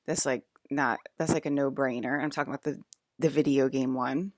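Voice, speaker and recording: A woman's voice: very deep voice, fairly even intonation, fairly quick, dry recording. A woman in her fifties talking straight to her viewers in a rambling, confrontational monologue, full of fillers and swearing. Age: 50s. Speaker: video blogger.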